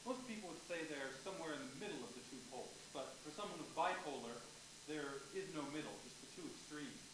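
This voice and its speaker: An earnest, knowledgeable man explaining things patiently to a child as acted by a man on stage. His voice is fairly high, far from the mic, with heavy echo. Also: animated, fast, lightly acted. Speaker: actor in a staged play reading. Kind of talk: staged play reading. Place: indoor stage of a university theatre. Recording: distant, very echoey, noisy theatre recording.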